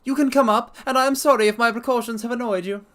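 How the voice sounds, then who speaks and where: A man voicing a nervous, easily panicked Victorian gentleman with a reedy, quivering voice in a dramatic story reading. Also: very high voice, fairly even intonation, fast, voice pushed up. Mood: relieved. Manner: agitated, jangled nerves.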